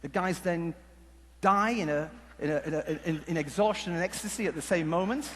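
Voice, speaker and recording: A man giving a humorous conference talk, telling stories in a jokey, halting, rambling way. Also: on the high side. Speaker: conference speaker. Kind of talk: humorous stage presentation with storytelling. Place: large conference hall before an audience. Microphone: headset mic. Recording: echoey hall PA with background noise.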